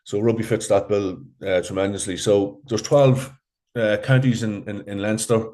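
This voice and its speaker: An Irish man, a rugby coach, answering interview questions with many pauses, ums and repeated words. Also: fairly low pitch, steady tone, dark tone. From Ireland.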